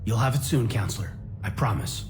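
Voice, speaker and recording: A man's voice: on the low side, lively intonation, fairly quick, natural voice. An actor playing a loyal, earnest male soldier in a video game, speaking with some hesitancy. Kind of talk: video game dramatic acting. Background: music.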